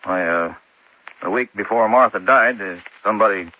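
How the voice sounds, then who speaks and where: An actor playing an uneasy, defensive farmer with a rural American accent and a halting, homespun way of talking in an old radio drama. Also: male voice, on the low side, natural voice. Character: defensive, hesitant male farmer, a widowed murder suspect. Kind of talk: golden-age radio acting. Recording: muffled old-time radio recording.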